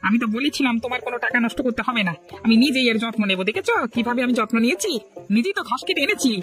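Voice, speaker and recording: A man's voice: very high voice, racing, a bit bright, faint music behind. A man voicing a kind, stubborn poor village husband in a cartoon, earnest and protesting. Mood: self-justifying. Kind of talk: Bengali cartoon story dialogue.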